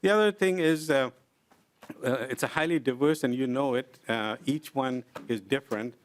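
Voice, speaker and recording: A middle-aged man testifying before a legislative committee. He speaks in an earnest, deliberate way and pauses often with "uh". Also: medium pitch, a little hiss or hum. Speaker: invited presenter at a legislative committee. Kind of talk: formal testimony, explaining with examples. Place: legislative committee hearing room.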